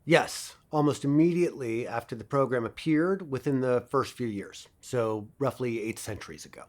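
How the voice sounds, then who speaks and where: Male lead for audio drama: actor playing a confident university lecturer, mid-pitched, didactic with a theatrical streak. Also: moderate pace, a little mellow, lightly acted. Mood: didactic, assured. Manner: didactic, theatrical, prompts listeners with questions. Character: self-assured male professor lecturing on future history.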